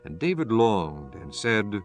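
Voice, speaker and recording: A man narrating a dramatized audio Bible from a script, in a formal, solemn and measured storytelling manner. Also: lowish voice, sing-song. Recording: music under the voice. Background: music.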